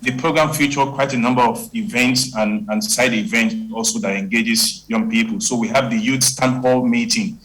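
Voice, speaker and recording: A man's voice: medium pitch, fairly even intonation, moderate pace, few pauses, a bit bright, low-quality recording. A man with a Nigerian accent co-hosting a forum and presenting a semi-scripted recap of events. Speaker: co-host of a forum.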